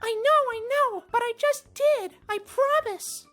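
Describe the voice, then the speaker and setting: Man putting on a squealing, shrill little girl mouse. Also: a little slow, faint music behind, exaggerated voice. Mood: excited pleading. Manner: babbling, squealing, repeats herself excitedly. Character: excitable squeaky little female mouse, frantic and babbling.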